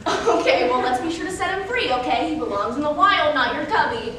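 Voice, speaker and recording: A wry but kindly schoolteacher , played by a woman on stage. Her very high voice is animated and theatrical, with lively swings. It rings through an echoey hall, recorded from a distance. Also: brisk. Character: wry, kindly young schoolteacher chatting with coworker and children. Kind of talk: live reader's theater performance. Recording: echoey hall, distant mic, faint noise.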